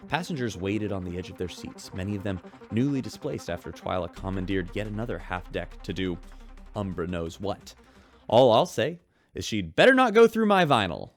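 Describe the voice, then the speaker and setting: A man's voice: fairly low pitch, animated, normal speed, soft background music. Upbeat man hosting a space radio show. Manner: upbeat, chatty. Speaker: radio host. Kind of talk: scripted radio drama host.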